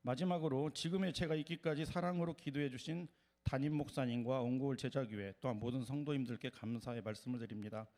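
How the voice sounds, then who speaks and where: A man with a mid-pitched voice giving a formal, prepared testimony as a seminary student. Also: fast talker, darkish tone.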